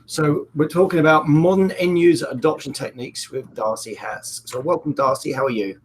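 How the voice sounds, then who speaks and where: Host, male, croaky voice, fairly high for a man, jokey and relaxed on a call mic. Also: a little fast, a little hiss or hum. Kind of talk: casual webinar hosting.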